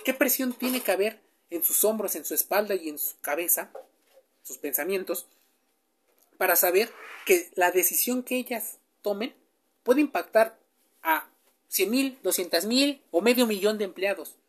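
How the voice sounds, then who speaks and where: A woman's voice: mid-range voice, lively intonation, a little slow. A woman, giving a chatty explainer and often asking the listener questions. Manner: chatty, asks the listener questions, with filler sounds. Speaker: presenter. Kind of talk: informal explainer speaking straight to the listener.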